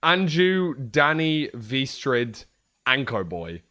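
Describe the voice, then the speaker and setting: A young man with a hoarse voice, chatting casually and joking on a livestream. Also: medium pitch, very animated, drawn-out words, pushed and bright. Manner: joking, trash-talking, swears a lot. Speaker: streamer.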